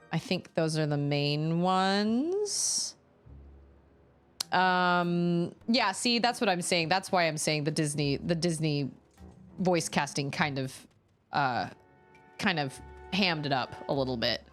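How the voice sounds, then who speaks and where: Chatty female game streamer, 30s, lower pitch but very lively, rambles and exclaims, faint game music underneath. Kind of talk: casual chat while playing a video game.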